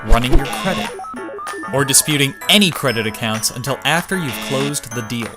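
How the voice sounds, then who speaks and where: Smooth ad announcer, lively pitch, music playing under it. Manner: smooth promotional delivery. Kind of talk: ad voice-over. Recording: voice-over with background music.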